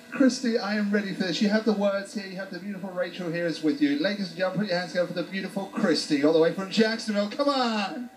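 A man's voice: high-pitched, brisk, poor audio. A man hosting a stage show as emcee, upbeat and coaxing, rousing the crowd into a handheld microphone. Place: cruise ship show stage. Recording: band music behind the voice. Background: live band music.

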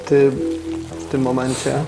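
A man's voice: mid-range voice, completely flat delivery, a little slow, vocal fry. A man running a tabletop role-playing game as game master, narrating scenes off the cuff in casual, plain language. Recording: roomy echo, music behind. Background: background music.

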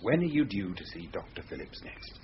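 Radio thriller husband: curt, sardonic, sceptical, extremely animated intonation, slightly muffled sound. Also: male voice, lowish voice, brisk. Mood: exasperated. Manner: curt, sarcastic. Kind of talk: thriller radio drama acting. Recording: dull, noisy radio drama recording.

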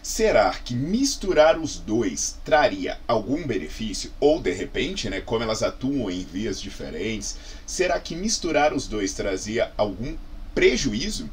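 Animated Brazilian man explaining, faint background noise. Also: on the low side, measured pace. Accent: Brazilian Portuguese. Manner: animated, conversational, frequent "né" tags and rhetorical questions. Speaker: fitness science YouTuber, presenter. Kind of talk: informal explainer to camera. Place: small indoor office with bookshelves.

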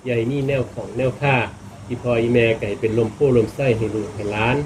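A man's voice: mid-range voice, steady tone, fast talker, darkish tone. A man with an Isan accent from northeastern Thailand, a Buddhist monk talking in a folksy, colloquial way to temple visitors and giving blessings. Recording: noisy live recording with background ambience.

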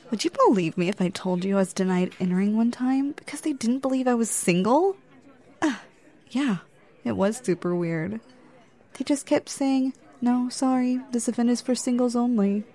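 A woman's voice: a little high, mellow, close to an everyday voice. Flirty, teasing woman acting on a date. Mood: chatty, friendly. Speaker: ASMR roleplayer. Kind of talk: ASMR roleplay.

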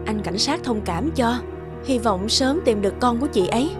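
An anxious young mother in a cartoon drama, played by a woman. Her voice is high and protective, with music playing underneath. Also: expressive, normal speed, lightly acted. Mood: sympathetic.

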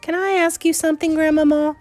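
A woman voicing an eager young boy who has become a mouse, excitable and inquisitive, in storybook dialogue. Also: high voice, fairly quick, soft background music, pitched above the performer's own voice, lightly acted. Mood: curious, pleading. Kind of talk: acted children's storybook dialogue.

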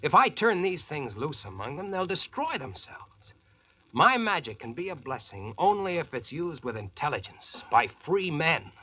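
Bright-voiced, brash American Yankee. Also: male voice, fairly high pitch, very animated, normal speed, husky, highs cut off, full character voice. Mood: earnest. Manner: plain-spoken, folksy, self-assured. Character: brash, confident American Yankee engineer in Camelot. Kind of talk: 1940s radio acting, narration and dialogue. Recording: old radio drama recording, music behind.

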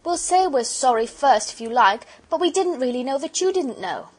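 Woman as a pleading girl, pitched high. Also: lively intonation, normal speed, a little mellow, slightly rough. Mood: apologetic, eager. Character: earnest, impulsive young English girl, pleading and apologetic.

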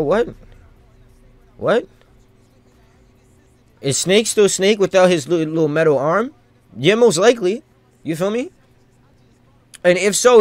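A man's voice: high-pitched, no room sound. Slangy man rambling through a gaming stream. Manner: slangy, rambling, thinking out loud. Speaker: video game streamer. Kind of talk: casual live gameplay commentary.

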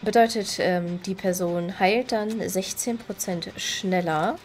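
A woman's voice: medium pitch, fairly even intonation, slow, darkish tone, slight background noise. A woman with a stuffy, slightly nasal voice from a cold, chatting casually while she plays and explains game mechanics. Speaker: gaming video creator. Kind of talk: casual gameplay commentary.

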